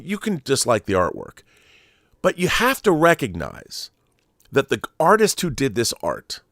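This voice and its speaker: Animated middle-aged man giving opinionated film commentary. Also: medium pitch, no echo, studio quality. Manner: emphatic, deliberate, with stressed pauses and repeated phrases. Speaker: host of a film commentary show. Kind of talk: opinionated monologue to viewers about film collectibles.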